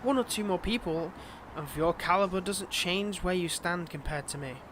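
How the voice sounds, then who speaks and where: A man's voice: high voice, moderate pace, noisy background, close to an everyday voice. A man playing a smug, possessive fantasy villain in a roleplay audio drama, with a taunting, theatrical manner. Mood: smug, threatening.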